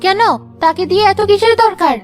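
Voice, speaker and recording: A woman's voice: extremely high pitch, brisk, faint music behind. A woman playing a bossy, nagging, hard-hearted village wife in a cartoon, scolding as she talks. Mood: nagging, irritated. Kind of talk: Bengali cartoon voice acting.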